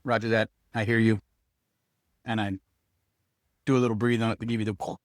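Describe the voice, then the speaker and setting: A man's voice: on the low side, level tone, brisk, no echo, studio quality. A man giving a casual hands-on product review, speaking to viewers and thinking aloud as he demonstrates.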